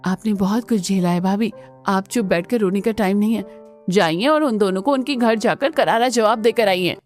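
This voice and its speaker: A woman's voice: mid-range voice, normal speed, faint music behind, lightly acted. A woman voicing a protective, spirited young sister-in-law with bold, emphatic delivery in a cartoon family melodrama. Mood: urging.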